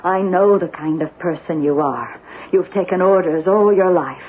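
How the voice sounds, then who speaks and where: A woman's voice: lowish voice, normal speed, close to an everyday voice. Actress as an intense, defiant woman psychiatrist. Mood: bitter, accusing. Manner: insistent, accusing, intense. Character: agitated, defiant woman psychiatrist, distrustful and urgent. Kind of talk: 1970s radio drama acting. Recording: lo-fi old radio broadcast, faint noise.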